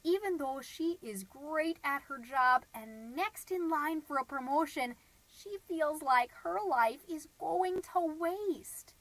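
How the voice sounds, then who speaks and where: A woman's voice: high voice, lively intonation, takes it slowly, a bit bright, no echo, heavily acted, poor audio. A woman playing a chirpy, gossipy suburban stay-at-home mom who hosts a homemade comedy podcast in a folksy, rambling, self-satisfied way. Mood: gossipy, earnest. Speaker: podcast host.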